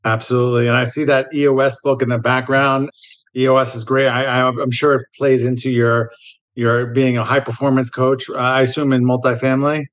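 A man's voice: medium pitch, level tone, a little fast, fairly bright tone. A man hosting a podcast, introducing the show and interviewing a guest. He often stumbles over repeated words. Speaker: podcast host.

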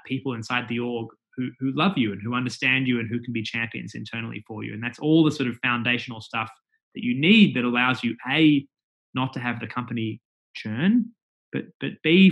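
A man's voice: medium pitch, a little fast, vocal fry, fairly bright tone, rough recording. A man with an Australian accent, a company executive talking as a guest in a casual interview, chatty and full of fillers and restarts.

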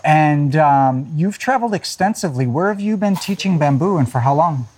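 A man's voice: fairly high pitch, animated, moderate pace, darkish tone, slight background noise. A man hosting a podcast, reading a scripted intro and then interviewing a guest outdoors with short, encouraging questions. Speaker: podcast host. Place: outdoors on a farm. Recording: music bed under the intro.

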